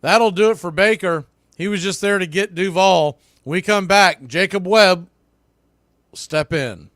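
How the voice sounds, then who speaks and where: A man's voice: high-pitched, a little slow, fairly bright tone. A man giving live radio play-by-play commentary of a baseball game as the announcer.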